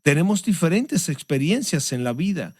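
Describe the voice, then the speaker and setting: A man who is a pastor, giving a sermon and answering interview questions in an earnest, measured way. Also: a little high, sing-song, darkish tone, slightly rough, no echo, studio quality. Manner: earnest, measured preaching delivery.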